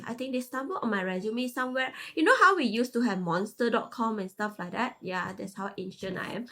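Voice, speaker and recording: A woman's voice: fairly high pitch, a bit bright. A woman in her thirties from Malaysia with a Malaysian English accent, a podcast guest chatting casually and laughing often between phrases. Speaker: guest, tech manager. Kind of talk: casual interview chat.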